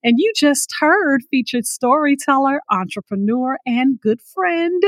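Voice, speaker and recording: A woman hosts a radio show in a high voice with slow, deliberate pacing. Her manner is effusive and encouraging. The sound is close and dry. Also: lively intonation, no echo. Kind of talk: radio hosting.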